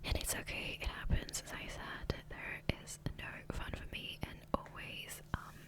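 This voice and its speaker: A woman whispering softly and breathily into a close microphone in a rambling, hesitant ASMR gameplay commentary, often trailing off. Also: normal speed. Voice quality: soft, breathy unvoiced whisper. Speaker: ASMR gaming creator. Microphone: close USB condenser microphone.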